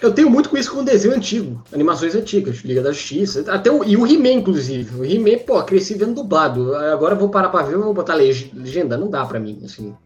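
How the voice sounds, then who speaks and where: A man's voice: sits high, very animated, quick pace, hardly any pauses, soft background music. A man with a Brazilian Portuguese accent, co-hosting a casual chat and sharing trivia with lots of fillers. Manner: chatty, shares trivia with frequent fillers. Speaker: podcast co-host. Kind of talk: casual chat about cartoons. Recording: roomy, muffled mic.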